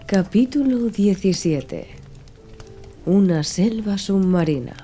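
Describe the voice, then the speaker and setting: A woman's voice: mid-range voice, lively intonation, takes it slowly, mellow. A woman reading literary prose as an audiobook narrator in a scripted storytelling style. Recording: music under the voice. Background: background music.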